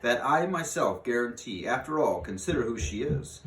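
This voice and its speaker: Guy doing formal old rodent gentleman, grave n firm, storybook voice, raised pitch, roomy. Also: animated, normal speed, far from the mic, soft background music, natural voice. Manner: formal, emphatic.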